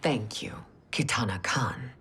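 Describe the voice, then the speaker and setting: Low-voiced, ruthless scheming empress. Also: female voice, bright, forceful tone, slight background noise, full character voice. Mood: regal, devoted. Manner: imperious, measured, commanding. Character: regal, scheming, ruthless warrior empress and mother. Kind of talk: dramatic video game acting. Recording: music behind the voice.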